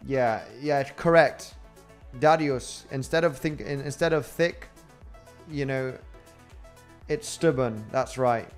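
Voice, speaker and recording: A man with a Spanish accent, a crypto trading host chatting casually on a livestream and reading out viewer comments in a rambling way. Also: medium pitch. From Spain. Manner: rambling and chatty, with frequent fillers and laughs.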